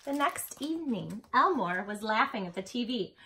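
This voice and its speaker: Woman, children's storytime host; high voice, very lively, expressive read-aloud delivery. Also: moderate pace, slight background noise. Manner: animated, dramatic delivery for children.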